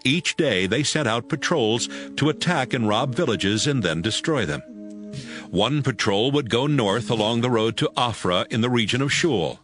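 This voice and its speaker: A man narrating scripture from a script in a measured storytelling manner. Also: mid-range voice, animated, a bit bright, soft background music.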